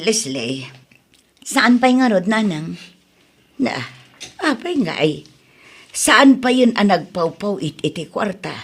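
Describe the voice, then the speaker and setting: Concerned female relative, Ilocano accent, family audio drama, played by a woman. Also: medium pitch. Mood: worried. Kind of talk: Ilocano radio-style family drama acting.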